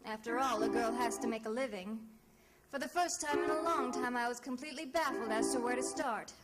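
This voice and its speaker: Woman acting a breezy lady gumshoe in an old radio show, shrewd n teasing, highish voice, music under it. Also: a little fast, close to an everyday voice. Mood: wry narrating. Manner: wry, glib, flirtatiously teasing. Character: wisecracking female private eye, breezy and shrewd. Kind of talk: old-time radio detective drama acting with narration. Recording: vintage radio drama recording with music.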